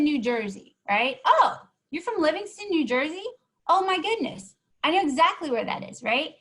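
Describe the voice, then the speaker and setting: A woman's voice: fairly high pitch, fairly bright tone. Encouraging woman leading a workshop. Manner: encouraging, keeps asking "right?". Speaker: workshop presenter. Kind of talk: teaching a live online workshop.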